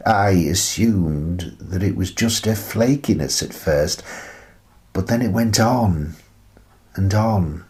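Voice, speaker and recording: A male actor playing a wistful retired teacher and grandfather in his sixties, telling his story in a reflective, measured radio play monologue with pauses between phrases. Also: on the low side, a little mellow. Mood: sorrowful, tender.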